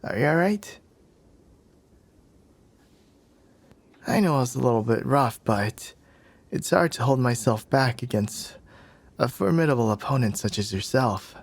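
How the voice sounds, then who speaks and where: A man's voice: medium pitch, lively intonation, normal speed. A man playing a cocky, teasing young warrior in an ASMR roleplay, smug and playfully possessive toward the listener. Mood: warm, admiring. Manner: teasing, smug, breathy sighs and chuckles.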